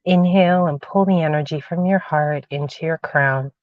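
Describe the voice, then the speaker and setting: A woman's voice: low voice, moderate pace, no echo. A woman hosting a spiritual livestream from inside a parked car on her phone, chatting casually, calling viewers darling and sweetheart, then leading a guided meditation while she gets over a cold with a cough drop in her mouth. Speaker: spiritual channeler and host. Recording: dull lo-fi phone audio.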